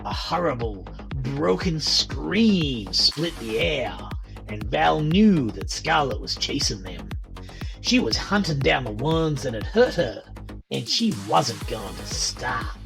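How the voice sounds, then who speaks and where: A man's voice: mid-range voice, big pitch swings, slow pace, creaky. A man narrating a scripted horror story in a dramatic style. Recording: music underneath.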